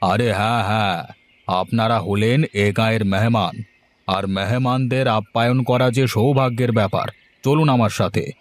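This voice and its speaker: A man's voice: fairly low pitch, normal speed, natural voice. A man playing a kindly older village householder in a cartoon fairy tale, with a folksy, emotive delivery. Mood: hearty.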